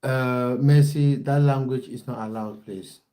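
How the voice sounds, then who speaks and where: Man, football coach hosting a livestream; mellow, relaxed tone, direct and repetitive with viewers. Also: mid-range voice, measured pace. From Cameroon. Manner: repeats phrases, talks straight to viewers. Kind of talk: livestream chat, reading out viewer comments.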